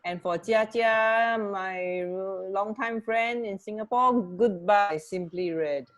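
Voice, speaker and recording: A woman co-hosting a radio show in casual chat, halting and often rephrasing mid-sentence. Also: medium pitch.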